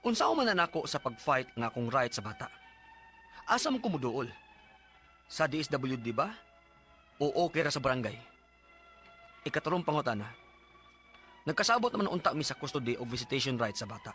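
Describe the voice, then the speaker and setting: A man's voice: medium pitch, sing-song, brisk, long pauses, fairly bright tone, faint music behind. A man acting an exasperated, short-tempered young father in a radio drama, with a Cebuano Filipino accent. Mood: aggrieved.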